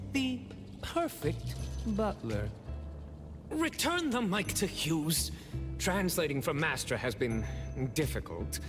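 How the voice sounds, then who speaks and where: An actor playing a fussy, long-suffering perfectionist butler in a video game, formal and prissy, referring to himself by name. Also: male voice, sits high, full character voice. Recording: long hall reverb, clear music behind.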